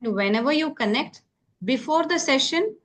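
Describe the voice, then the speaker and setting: A woman's voice: high-pitched, lively intonation, normal speed, a bit bright. A woman from South India, tutoring a beginner over a call and checking in often with "okay?". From Karnataka, South India. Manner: patient, repeats key points with many "okay?" checks. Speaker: English tutor. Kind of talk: phone conversation practice.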